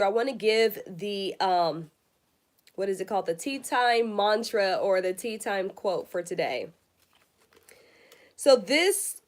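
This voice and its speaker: A woman hosting a casual spiritual livestream, a little congested, talking straight to her viewers. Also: on the high side. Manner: chatty, addresses viewers directly. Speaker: astrology livestream host. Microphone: phone or webcam, live stream.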